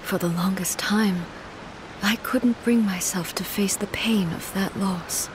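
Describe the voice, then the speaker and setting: A woman playing a regal, immortal shogun in a video game, formal and measured, grieving yet composed. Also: mid-range voice, noisy background, close to an everyday voice. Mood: sorrowful.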